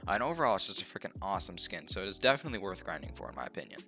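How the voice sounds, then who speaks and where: A man's voice: sits low, very animated, very fast, pushed and bright, faint music behind. A young man with a stuffed-up, slightly nasal voice, casually walking through game unlocks with lots of ums and uhs at his desk mic. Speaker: gaming youtuber. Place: home room, indoors.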